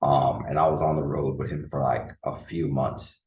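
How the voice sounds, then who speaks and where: A deep-voiced man in his thirties, originally from the Dominican Republic, talks through a casual solo podcast episode. He rambles earnestly, restarting sentences and leaning on fillers. The sound is roomy and echoey. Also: steady tone, normal speed, darkish tone, recorded from a distance, dull audio. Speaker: podcast host and coach. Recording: echoey room.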